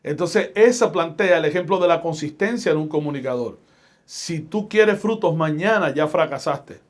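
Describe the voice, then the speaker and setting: A middle-aged man from Puerto Rico with a Puerto Rican accent, a pastor and radio host telling stories in a podcast interview with a deliberate, emphatic preaching delivery. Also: a little high. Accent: Puerto Rican Spanish.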